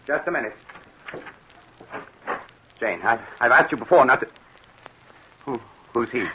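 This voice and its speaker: An actor playing a high-strung, impulsive young fiancé with an intense, theatrical delivery, in 1940s radio drama style. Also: male voice, expressive, unhurried, noisy background, lightly acted. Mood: fearful, irritable.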